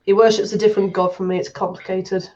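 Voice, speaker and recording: A woman's voice: medium pitch, flat delivery, quick pace, darkish tone, close to an everyday voice. A woman playing a guarded, formal dwarf. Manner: formal, clipped. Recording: dull lo-fi mic.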